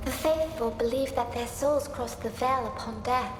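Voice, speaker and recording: An actress playing a gentle, compassionate young sister in a video game, earnest and soft-spoken, with a ghostly reverb on her voice. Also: on the high side, moderate pace. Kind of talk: video game cutscene acting. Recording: heavy echo, music behind.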